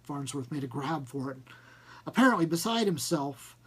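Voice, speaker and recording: A man narrating a first-person short story, reading in an expressive, measured way. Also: a little high, husky. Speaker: audiobook narrator.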